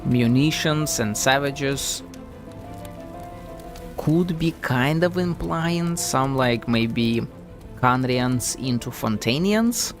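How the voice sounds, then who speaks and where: A man's voice: mid-range voice, slow, over music. A man giving thinking-aloud commentary as a game streamer, hesitant and musing.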